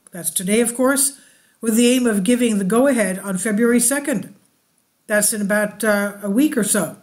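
A woman hosting her own channel, reading a translated news article aloud slowly and deliberately, with asides to her viewers. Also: on the high side, a little mellow.

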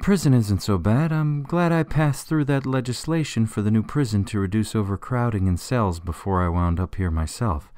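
An actor plays a jailed male ex-governor, an evasive and stubborn politician in a radio drama. The voice is fairly low, in a dry recording. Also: normal speed, few pauses, a little mellow, no echo, natural voice. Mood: affable.

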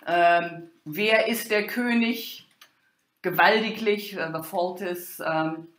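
Slow, halting German-accented woman lecturer. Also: fairly low pitch, fairly bright tone. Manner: halting, hesitant delivery with many pauses. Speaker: university lecturer in medieval German literature. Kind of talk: informal academic lecture with quoted text. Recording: roomy, echoing sound.

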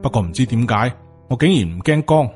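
Stoic Taoist master for an audiobook, read by a man, Hong Kong Cantonese, subdued and lowish, unhurried, faint music. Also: lightly acted. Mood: indifferent. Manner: flat, unhurried, lecturing. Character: stoic, world-weary male Taoist master.

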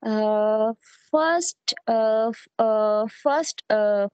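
A woman with a Pakistani accent answers as a language test candidate. Her voice is a little high and slow, with hesitant, basic English, on a dull call recording. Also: darkish tone, clean tone, dry recording. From Lahore, Pakistan. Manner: hesitant, simple beginner English. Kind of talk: answering practice test questions. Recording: dull, dry online call audio.

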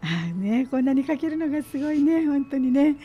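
A woman's voice: sits high, big pitch swings, fairly quick, dark tone, highs cut off. A woman hosting a casual radio interview with children in a small studio, echoing and affirming what they say in an encouraging way. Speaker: radio host. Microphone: studio mic on boom arm.

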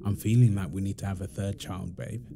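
A man playing a playful, affectionate young father in an intimate roleplay audio, chatting casually and softly as he teases. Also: low-pitched, steady tone, a little fast, gravelly, a little hiss or hum. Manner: teasing, soft-spoken, chuckling, informal.

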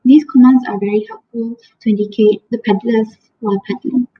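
A woman's voice: a little high, steady tone, fairly quick, a little hiss or hum. A young woman, a student presenting a class lesson over slides, explaining carefully with some restarts on a laptop webcam. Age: young adult. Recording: dull lo-fi webcam audio.

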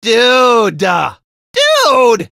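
A man's voice: very high voice, huge pitch swings, drawn-out words, creaky, pushed and bright, no room sound, raised voice, clean studio sound, heavily acted. A man voicing a goofy, laid-back surfer-dude lifeguard mascot in a video game, with a slangy drawl. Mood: exclaiming. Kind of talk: cartoony video game voice lines.

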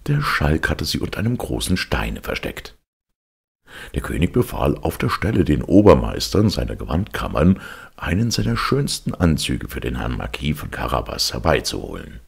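A man reading a fairy tale aloud as an expressive audiobook narrator. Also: very deep voice, normal speed, vocal fry, breathy edge. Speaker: audiobook reader.

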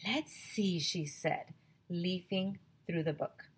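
A woman in her thirties, a teacher on a headset mic, reading a chapter book aloud to children with lively, expressive storytelling. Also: on the low side, slow pace, breathy edge. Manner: expressive storytelling, addresses kids directly. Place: small home room with classroom decorations.

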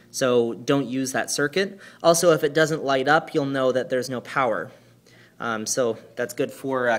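A man presenting equipment in an informal hands-on walkthrough, speaking hesitantly and pausing mid-sentence. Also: on the high side, a bit bright. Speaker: presenter demonstrating church sound equipment. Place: carpeted indoor room. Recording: clear background noise, handheld camera mic.

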